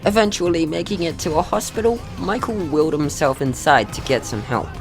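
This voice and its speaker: A young narrator tells a scripted story with a mock-serious manner. The pitch sits in a middle range and swings in a lively way, with music playing behind the voice. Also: a little fast. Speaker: video creator narrating own short film.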